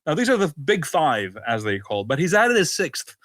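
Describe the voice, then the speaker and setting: A man's voice: on the high side, very animated, normal speed, fairly bright tone, no echo. A man co-hosting a casual, opinionated talk show, often breaking off and rephrasing as he goes. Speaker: podcast co-host.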